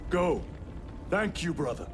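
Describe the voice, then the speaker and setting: An actor playing a grave, resolute veteran space marine lieutenant in a game, with clipped commanding delivery. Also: male voice, a little high, sing-song, slow pace, noisy background. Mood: brisk, resolute. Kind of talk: cinematic game dialogue.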